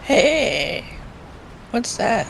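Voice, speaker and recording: A woman voicing a casual, low-key young female pegasus in a cartoon read-along. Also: sing-song, drawn-out words, gravelly, slight background noise, exaggerated voice. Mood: concerned, intrigued.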